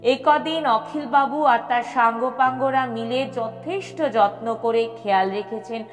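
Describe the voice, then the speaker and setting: A woman reads a scripted story at a very unhurried pace. Her delivery is expressive, with dramatic pauses. Long reverb surrounds the voice and music plays behind it. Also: on the high side. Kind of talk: scripted audio story narration. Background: background music.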